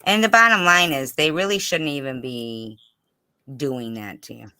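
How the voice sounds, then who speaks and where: A livestream host with a bright, crisp voice at a medium pitch, chatting casually with a guest. The delivery is insistent and halting, often repeating phrases to drive a point home. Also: no room sound. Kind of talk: casual livestream interview chat.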